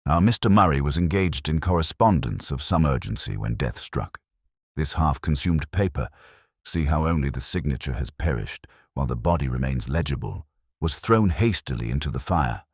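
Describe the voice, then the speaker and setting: A man voicing a calm, incisive Victorian detective in an audiobook, speaking formally and deliberately. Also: very deep voice, slightly rough, dry recording, studio quality, heavily acted. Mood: brisk, commanding.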